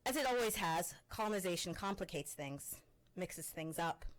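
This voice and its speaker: A woman from Canada with a fairly low voice reads her own essay aloud to an audience. Her delivery is measured and expressive, with weighty pauses on key words. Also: poor audio. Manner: measured, expressive literary reading with pointed pauses. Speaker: faculty presenter. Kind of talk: reading a personal essay aloud.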